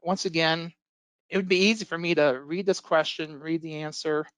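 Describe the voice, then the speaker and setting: Slightly hoarse man teaching a webinar. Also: fairly high pitch, steady tone, normal speed, a bit bright, dry recording. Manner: casual, lots of fillers and restarts. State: losing his voice from illness. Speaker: IT instructor. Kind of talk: webinar presentation.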